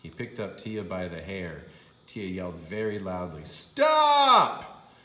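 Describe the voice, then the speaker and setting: A man reading a storybook aloud to young children as a kindergarten teacher, deliberate and emphatic. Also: deep voice, roomy sound.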